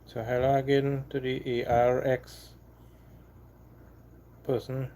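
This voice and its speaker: A man with a Trinidadian accent talks casually to his live stream viewers, his voice mellow and mid-pitched. He stumbles, repeats words and restarts his sentences, and he sounds apologetic one moment and firm the next. Faint background noise sits under his voice. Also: level tone. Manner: halting, repeats himself, apologetic then defiant. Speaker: livestream host.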